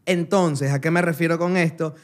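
Man, Ecuadorian accent, very high voice, animated, teasing, foul-mouthed podcast banter. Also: moderate pace. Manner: animated, teasing, swears freely. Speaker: podcast co-host. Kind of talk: casual banter.

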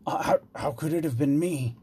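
A man's voice: a little high, moderate pace, mellow, slightly rough. A man voicing a humble, bewildered dwarf court jester in a storybook, hesitant and subdued. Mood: feigned innocence.

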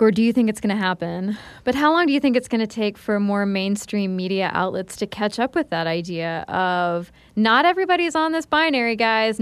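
A woman hosting a podcast who asks probing questions. Her voice is fairly high and smooth. The recording is close and dry, with no room sound. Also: no room sound. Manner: inquisitive, probing. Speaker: podcast host. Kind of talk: interview questions.

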